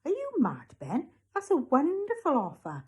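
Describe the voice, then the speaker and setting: A woman voicing an eccentric, eager male newsagent in a storybook, deliberate and full of salesman's enthusiasm. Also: mid-range voice, sing-song, a little mellow, close to an everyday voice. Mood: excited haggling. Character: eccentric, eager male newsagent pushing odd special offers. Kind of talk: storybook character voice.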